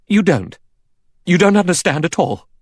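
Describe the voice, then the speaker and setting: A man plays a young woman in an audiobook, pitched up from his own voice. She is anxious and sharp, protesting with insistence. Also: very animated, normal speed, no echo, clean studio sound. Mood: sharp distress.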